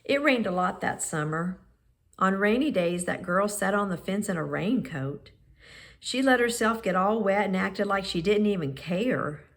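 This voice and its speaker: A woman reading a children's picture book aloud in measured, expressive phrases with pauses. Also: mid-range voice. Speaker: storybook reader.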